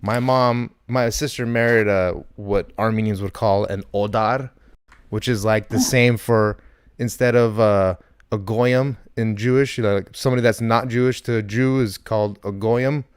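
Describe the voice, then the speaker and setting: A man's voice: fairly low pitch, steady tone, normal speed, clean tone, no room sound. A man hosting a comedy podcast, telling digressive anecdotes in casual chat. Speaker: podcast host.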